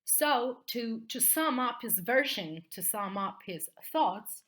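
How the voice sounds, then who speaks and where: A woman's voice: on the high side, expressive, slow, fairly bright tone, low-quality recording. A woman with an Italian accent teaching in an explanatory video lesson, often checking in with short tags at the end of phrases. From Italy.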